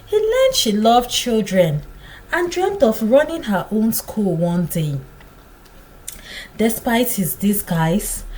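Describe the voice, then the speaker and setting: A woman narrating a scripted folktale in a dramatic, deliberate storytelling manner. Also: a little high, darkish tone, poor audio.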